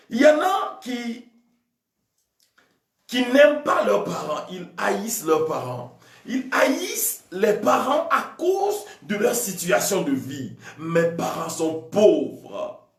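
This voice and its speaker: High-voiced, impassioned preacher. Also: male voice. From Togo. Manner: impassioned, often shouting, with drawn-out emphatic phrases. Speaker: priest preacher. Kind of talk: charismatic sermon. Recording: echoey room, voice from a distance.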